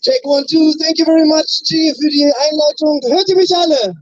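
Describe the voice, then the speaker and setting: Man, from Berlin, protest rally speech, outdoors, high pitch, dull lo-fi recording, shouted chants. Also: moderate pace. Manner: rousing, leads chants, shouts slogans. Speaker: rally speaker. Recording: dull lo-fi sound.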